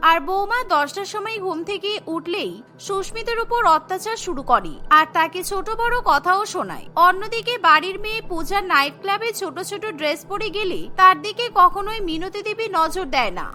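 A woman's voice: very high voice, big pitch swings, a little fast, sharp tone. A woman narrating a scripted cartoon story as a storyteller.

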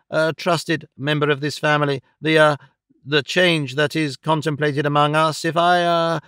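A pompous, indignant middle-aged gentleman , acted out by a male narrator, pitched high and very clearly voiced. He blusters in grandiose Victorian storybook phrases, halting and hemming as he goes. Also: no echo, studio quality. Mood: sternly formal. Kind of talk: audiobook character acting of a Victorian novel.

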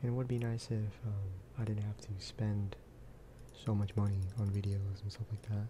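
A man talks softly through a video game in a relaxed ASMR style. His voice sits a little low and barely moves in pitch as he rambles casually. There is clear noise behind him, with clicking. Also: moderate pace. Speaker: gaming video creator. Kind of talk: soft-spoken ASMR game commentary.

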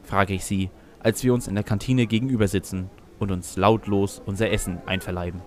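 Low-voiced man narrating a story. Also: fairly even intonation, a little fast. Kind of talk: first-person scripted narration of fan fiction audio drama.

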